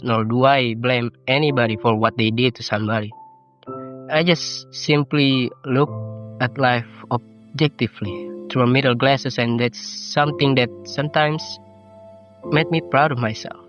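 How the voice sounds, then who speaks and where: Teenage male vlogger, Indonesian accent, non-native English, reflective and self-deprecating, slightly lo-fi voice-over with clear music underneath. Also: mid-range voice. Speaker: YouTuber. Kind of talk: personal vlog narration. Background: game soundtrack music.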